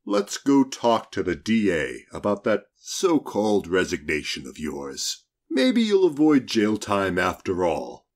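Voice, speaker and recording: A man puts on a tough, smug FBI agent for an audiobook. The delivery is dry and condescending, in a mid-to-low male voice. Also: huge pitch swings, unhurried, heavily acted. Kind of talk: audiobook character dialogue.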